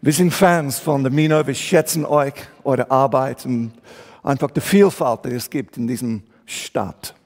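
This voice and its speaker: Slow-spoken English man preaching in German. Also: mid-range voice, darkish tone, long reverb tail. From England. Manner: deliberate, searching for German words, mixing in English. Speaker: guest preacher. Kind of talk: sermon. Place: church hall.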